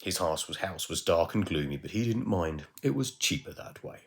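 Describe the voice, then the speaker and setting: A man reading a children's story aloud in a measured, expressive way with dramatic pauses. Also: low voice. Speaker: teacher reading a bedtime story.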